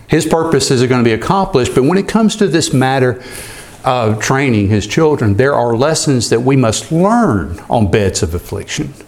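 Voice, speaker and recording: A man's voice: mid-range voice, animated, measured pace. A man pastor preaching an earnest expository sermon, sometimes repeating a word as he goes.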